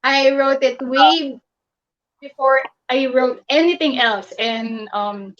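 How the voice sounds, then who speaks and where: A woman's voice: high voice, takes it slowly, a bit bright. A Filipino woman, a poet, chatting casually on a video call, mixing English and Tagalog and laughing often. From Philippines. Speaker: guest poet and flight attendant. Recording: dull lo-fi call audio.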